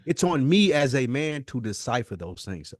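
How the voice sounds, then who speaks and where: An American man talks as a podcast co-host in a medium-pitched voice. He is blunt and emphatic, restating his points as he argues casually. The sound is dry, with very little room on it. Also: very animated, normal speed, no room sound. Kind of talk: casual argumentative podcast chat.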